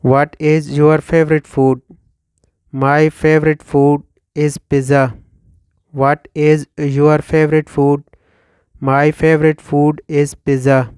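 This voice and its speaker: A man in his thirties from Pakistan with a Pakistani accent and Urdu as his first language, teaching English by slowly reading questions and answers and repeating each one for learners. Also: on the high side, flat delivery, darkish tone, clean tone, no room sound, studio quality. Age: 30s. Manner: slow, deliberate, repeats each line for learners. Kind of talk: slow scripted question-and-answer drill.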